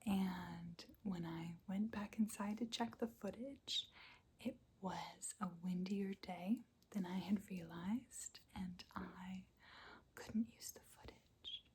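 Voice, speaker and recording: Woman ASMR host for a casual update chat, whispered throughout and very breathy. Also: medium pitch, steady tone, slow. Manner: soft unvoiced whisper with small quiet laughs.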